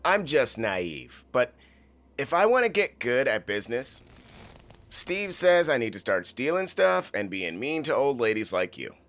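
A man's voice: medium pitch, very animated, unhurried, a bit bright. An actor playing a guileless, earnest young wannabe businessman in a comedy radio play. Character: naive, eager young bearded wannabe businessman.

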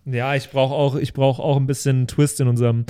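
A man's voice: mid-range voice, flat delivery, brisk, warm tone, smooth. A man co-hosting a podcast in casual, slangy chat among friends. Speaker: podcast co-host closing a listener Q&A episode.

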